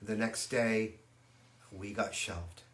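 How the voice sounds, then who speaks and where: An older man, a guitarist on a fan livestream, telling stories about his past in a relaxed way that often trails off. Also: fairly low pitch, slow. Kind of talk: casual reminiscing chat. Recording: echoey room.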